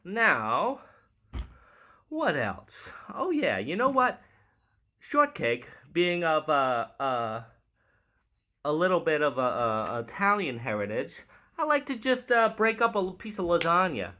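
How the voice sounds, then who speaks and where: A man's voice: a little high, very animated, slow pace, bright, forceful tone, heavily acted. A man doing a chatty sandwich-loving host. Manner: chatty, playful, hosting a mock show. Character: quirky male sandwich maker called Shortcake. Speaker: comedic host.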